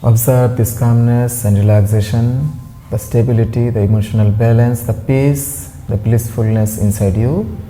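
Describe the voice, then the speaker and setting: A man leading a guided meditation as an instructor, giving gentle, soothing, unhurried instructions with long pauses. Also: mid-range voice, fairly even intonation, a little hiss or hum, poor audio.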